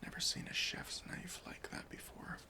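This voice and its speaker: A man playing an officious but easily persuaded airport security officer in a whispered, deliberate ASMR roleplay, questioning with mock-stern politeness. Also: close to an everyday voice. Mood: agreeable, musing. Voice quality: whispery, breathy.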